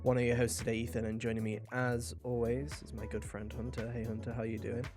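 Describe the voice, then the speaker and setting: A man's voice: mid-range voice, level tone, fairly quick, over music. A man hosting a podcast in a casual, chatty way, with a jokey, mock-dramatic manner, close to the microphone. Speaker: podcast host.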